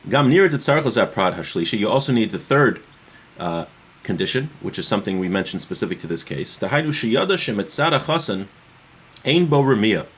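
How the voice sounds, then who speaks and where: A man giving a religious law lesson, reading from a text and explaining it in a halting way, repeating his points. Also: on the low side, sing-song, fairly bright tone, slightly rough, poor audio. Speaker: religious teacher.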